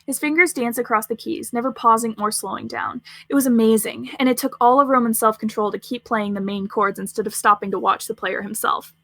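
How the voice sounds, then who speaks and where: A woman's voice: on the high side, steady tone, fairly quick, hardly any pauses, bright, forceful tone, dry recording. A woman reading scripted fiction aloud as the narrator of a story podcast.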